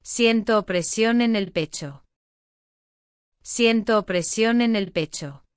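Slow, crisp woman reading phrases. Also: medium pitch, no room sound, studio quality. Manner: clear, careful enunciation, each phrase said twice. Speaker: language lesson reader.

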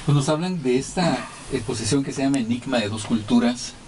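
Un hombre conductor de radio que hace preguntas de forma conversacional a sus invitados. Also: male voice, medium pitch, brisk, background noise. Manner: conversational, searching questions. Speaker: radio co-host. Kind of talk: radio interview questions. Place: small radio studio. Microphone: desk studio mic.

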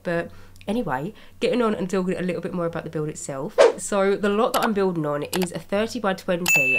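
A young woman with a slightly raspy voice from a cold, chatting casually as she narrates her video-game building. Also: fairly low pitch. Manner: chatty, rambling, with small laughs. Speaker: Sims speed-build YouTuber. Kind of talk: casual commentary over gameplay. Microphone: voice-over recorded separately from gameplay.

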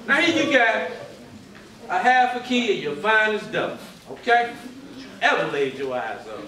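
A man's voice: high voice, very animated, unhurried, sharp tone, exaggerated voice. A middle-aged man on stage playing a swaggering, intimidating drug boss and father, speaking in an emphatic, projected way. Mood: menacing. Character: menacing swaggering drug boss and father, testing his crew. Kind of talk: stage play acting. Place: indoor theater stage. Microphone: far camera. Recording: echoey theater stage, distant mic, noisy.

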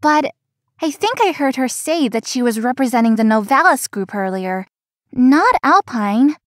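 A woman playing a feisty young florist in a visual novel, earnest and expressive. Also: sits high, unhurried, no echo, studio quality. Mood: indignant. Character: feisty young female florist, polite but privately resentful.

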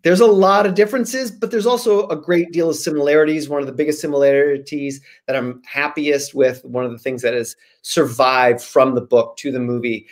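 A man's voice: a little high, fairly even intonation, brisk, few pauses. A man in his forties speaking as a guest author on a video call, chatting casually and reading from a storybook. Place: small room at home, indoors. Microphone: video call, computer at arm's length.

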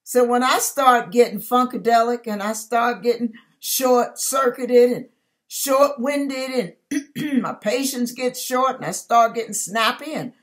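A woman's voice: fairly high pitch, unhurried. An older woman giving an informal devotional talk to camera, conversational and emphatic, often asking rhetorical questions. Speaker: online ministry host. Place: furnished room at home.

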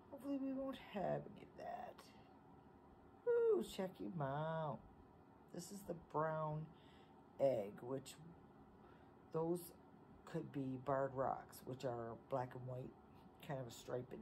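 A woman's voice: deep voice, dark tone. A plain-spoken, folksy woman giving casual home-video narration on a noisy phone recording. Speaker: farm vlogger.

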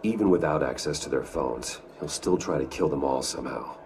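A brooding billionaire vigilante for a video game. His voice is extremely low and full of gravel. He speaks in terse, guarded, commanding phrases with controlled intensity. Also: a little fast, a little mellow. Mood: watchful, urgent. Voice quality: very deep, gravelly. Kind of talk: video game cutscene acting.

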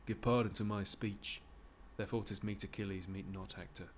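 Man reading as a measured, scheming Greek statesman. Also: lowish voice, steady tone, slight background noise, lightly acted. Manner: declamatory verse reading.

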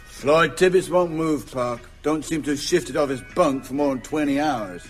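An actor playing a nervous, folksy small-town jail deputy in a radio drama. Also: male voice, a little high, moderate pace, soft background music, close to an everyday voice.

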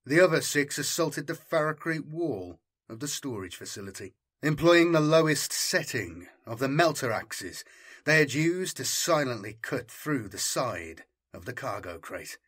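A man reading a military science fiction story as dramatic scripted narration, in a measured, formal storytelling manner. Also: mid-range voice, very animated, a bit bright, breathy edge. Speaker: audiobook narrator.

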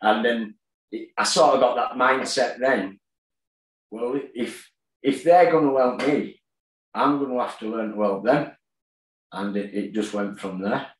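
Man, 30s, halting casual storytelling, tablet video call, roomy echo. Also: medium pitch. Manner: plain-spoken, halting with fillers. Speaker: guest, boxer and gym owner. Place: kitchen at home, indoors. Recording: echoey room.

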